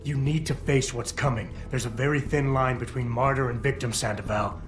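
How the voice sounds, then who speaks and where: An actor playing a tough, unyielding video game hero who talks in a terse, controlled, confrontational way. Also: male voice, medium pitch, fairly even intonation, fairly quick, faint music behind, close to an everyday voice. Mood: stern, challenging. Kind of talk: dramatic video game voice acting.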